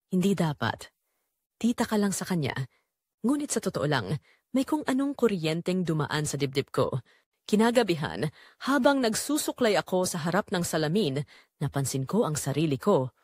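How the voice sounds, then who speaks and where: A woman's voice: lowish voice, very animated, moderate pace, darkish tone, breathy edge, no room sound, clean studio sound. A woman playing a lonely middle-aged widowed mother who tells her secretly yearning story in an audio drama. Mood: conflicted yearning. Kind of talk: first-person dramatic audio story narration.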